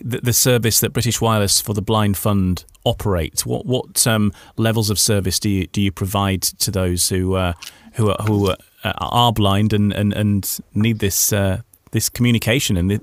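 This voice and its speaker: A man's voice: fairly low pitch, normal speed, no room sound. A man hosting a radio interview, forming his questions a little hesitantly and repeating words as he goes. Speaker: radio host.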